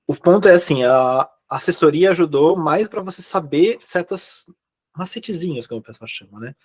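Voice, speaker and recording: A man's voice: fairly high pitch, expressive, moderate pace, a little mellow, breathy edge. A Brazilian man speaking Portuguese with a Brazilian accent, casually recounting personal experience as a guest in a conversation. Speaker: guest, immigrant to Uruguay.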